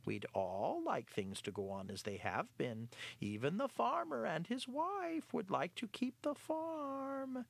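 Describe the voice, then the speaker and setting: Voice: mid-range voice, very animated, slow, creaky, hardly any pauses, pitched above the performer's own voice, heavily acted. A storyteller voicing a sly, fat, spoiled male house cat who drops into smug whispers.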